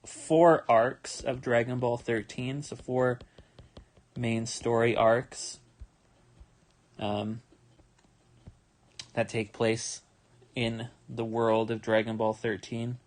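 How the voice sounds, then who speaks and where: A young man talks through his drawing on a livestream, tired and a little yawny. His voice sits at an ordinary middle pitch. He rambles easily, with lots of ums and half-started sentences. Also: takes it slowly, pauses often. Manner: relaxed, rambling, with many fillers and restarts. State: tired, yawning. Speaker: manga artist streaming his drawing. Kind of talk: casual livestream commentary while drawing.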